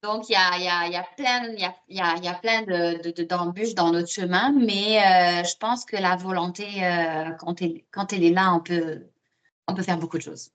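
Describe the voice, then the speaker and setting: A woman's voice: on the low side, steady tone, fairly quick, fairly bright tone. A woman from Morocco talking casually as an interview guest, telling her own story with repeated words as she thinks. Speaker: podcast guest; osteopath and student.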